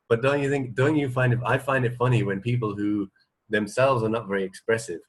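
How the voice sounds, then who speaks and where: A man's voice: medium pitch, steady tone, fairly quick. A man co-hosting a radio show in casual chat, talking in a hesitant, searching way with repeated words and little check-in questions. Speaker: radio co-host.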